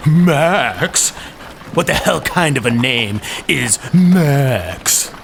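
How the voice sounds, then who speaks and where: Drawling, gravelly town bully. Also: male voice, medium pitch, animated, drawn-out words, slight background noise, heavily acted. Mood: belligerent. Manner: drawling, taunting. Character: brash young town ruffian and bully. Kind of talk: audio drama acting.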